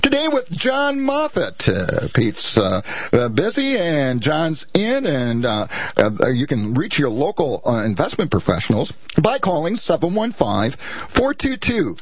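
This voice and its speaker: A man hosting a local morning radio show, friendly and halting with fillers. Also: a little high, huge pitch swings, dry recording. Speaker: radio host. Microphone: studio microphone.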